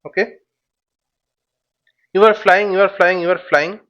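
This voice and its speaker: High-pitched man teaching, dull lo-fi mic. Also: steady tone, fairly bright tone. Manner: halting, repeats words and phrases. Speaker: flight simulation instructor. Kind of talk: informal spoken tutorial, explaining step by step. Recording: dull, lo-fi microphone sound.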